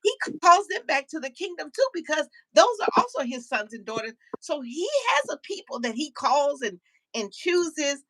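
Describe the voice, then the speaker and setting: Bright, high-voiced woman talk show host. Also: moderate pace, no room sound, poor audio. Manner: animated, preachy, repeats words as she starts sentences. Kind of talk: casual panel chat.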